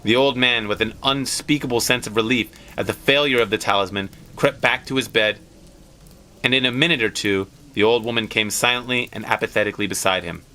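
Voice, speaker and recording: A man's voice: mid-range voice, brisk, pushed and bright, a little hiss or hum. A man narrating a story aloud in a dramatic, scripted storytelling style.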